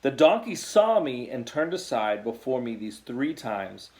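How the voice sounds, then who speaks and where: A man's voice: medium pitch, big pitch swings, measured pace, darkish tone. An American man voicing a stern, solemn angel in a Bible story, rebuking in formal scripture language.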